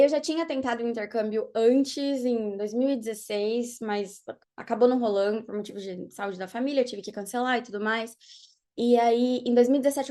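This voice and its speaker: A young Brazilian woman, a podcast guest in a studio, tells her story in a relaxed, animated way and laughs often. Her voice sits a little high. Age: young adult. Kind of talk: casual interview chat.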